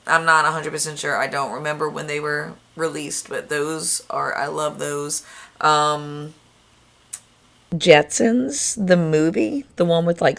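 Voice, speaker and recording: A young woman with a raspy voice, chatting casually and gushing about her favorite movies, thinking aloud as she goes. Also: low-pitched, fairly bright tone. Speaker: vlogger.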